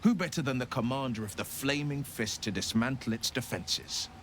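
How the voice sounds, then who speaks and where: A man's voice: mid-range voice, normal speed, a little hiss or hum, lightly acted. A man playing an earnest, resolute young nobleman turned warlock adventurer in a dramatic video game, speaking in a formal, sincere way. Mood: urgent, defiant.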